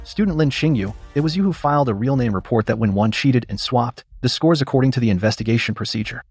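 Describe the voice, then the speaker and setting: A man's voice: mid-range voice, lively intonation, quick pace, a little mellow, husky, faint music behind. A man playing a stern homeroom teacher in a melodrama, formal and authoritative. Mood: firm, official. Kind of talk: dubbed Chinese melodrama audio.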